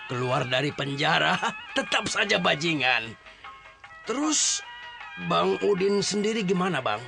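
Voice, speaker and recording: Man acting jovial scoundrel, fairly high creaky voice, swooping intonation, music behind, audio drama. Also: very animated, unhurried, full character voice. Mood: jovial, cheeky. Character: cheeky, unrepentant male ex-convict scoundrel, friendly.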